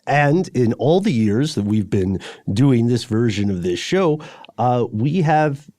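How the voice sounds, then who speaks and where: A man's voice: medium pitch, sing-song, slow pace, warm tone, dry recording, studio quality. A man co-hosting a podcast in casual, bantering chat, with a wry, playful and rambling way of talking. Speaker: podcast co-host. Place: remote recording, dry close sound.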